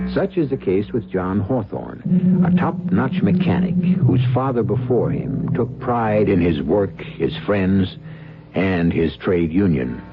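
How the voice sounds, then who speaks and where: A man hosting and narrating a radio drama in a theatrical, measured, portentous scripted style. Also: low-pitched. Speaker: host and narrator. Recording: dull old radio broadcast. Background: prominent music.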